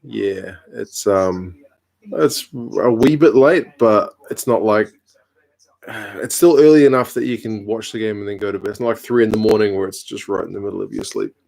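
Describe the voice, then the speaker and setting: A New man in his mid-thirties chats easily on a home live stream, his voice sitting a little low. He answers viewer comments in a laid-back, wry way, with a conversational rhythm. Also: moderate pace, gravelly, rough recording. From New Zealand. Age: 30s. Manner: relaxed, chatty, dry humour. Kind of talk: casual chat reading viewer comments.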